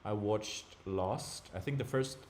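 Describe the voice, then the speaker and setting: A man's voice: fairly low pitch, slow pace, darkish tone, slight background noise. A young man from Germany with a German accent tinged by British and American sounds, chatting casually as he thinks aloud during a live stream. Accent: German with British and American traces.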